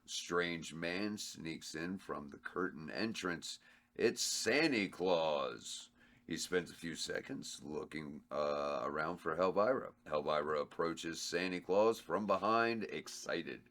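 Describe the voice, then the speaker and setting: A man reading the stage directions at a script table read, in a plain, unhurried way with slight stumbles. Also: deep voice, a bit bright.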